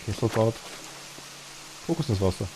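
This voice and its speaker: A man's voice: lowish voice, expressive, moderate pace, mellow, slight background noise, poor audio. A man with a Bavarian accent chatting casually and enthusiastically while streaming a game, laughing easily.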